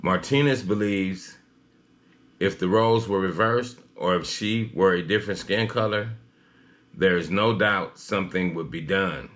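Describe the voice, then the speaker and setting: Male news commentator, low-pitched, slightly halting read, personal opinion style. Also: a bit bright.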